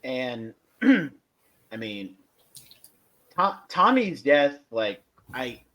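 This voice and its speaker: A man hosting a casual fan discussion, speaking in halting stretches with emphatic bursts. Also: mid-range voice, big pitch swings, pushed and bright. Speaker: podcast host reviewing a fan audio drama.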